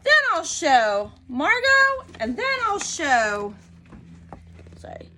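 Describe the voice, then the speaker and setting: A woman voicing an excited, chattering little girl from a picture book, in an eager singsong. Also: extremely high pitch, takes it slowly, a little hiss or hum, voice pushed up, exaggerated voice.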